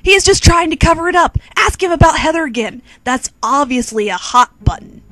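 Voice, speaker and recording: A woman's voice: high voice, lively intonation, a little fast, a bit bright, pitched above the performer's own voice. A woman voicing a bossy, meddling female narrator who barges into her own comedic fan fiction story. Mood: bossy, eager. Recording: quirky music behind.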